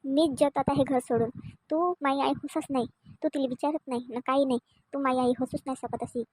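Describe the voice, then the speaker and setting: A woman plays a hot-headed young cartoon son with a high, bright-edgeless, mellow voice. He whines and repeats himself, with little swing in pitch. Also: fairly even intonation, a little fast, no room sound, lightly acted. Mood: sulky, defiant. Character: hot-headed drunk son, sulky then pleading. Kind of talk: amateur cartoon comedy dubbing.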